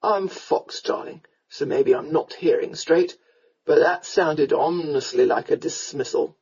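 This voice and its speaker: A woman voicing a drunken, roguish Regency gentleman in an audiobook, with a slurred, rambling, drawling delivery. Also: on the high side, unhurried, slightly rough, dull audio, voice pushed down. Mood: rueful, teasing.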